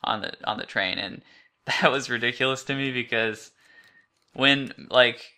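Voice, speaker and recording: Bright, sharp-voiced man chatting about film. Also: medium pitch, a little slow. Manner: informal, rambling, frequent "like". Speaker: podcast co-host. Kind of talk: casual film discussion, recapping a story.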